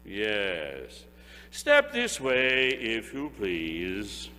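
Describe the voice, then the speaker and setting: A man voicing an old reformed miser who plays at gruffness and bursts with delight. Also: lowish voice, huge pitch swings, drawn-out words, bright, forceful tone, big-room sound, heavily acted. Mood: mock-gruff, delighted. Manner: mock-gruff then delighted.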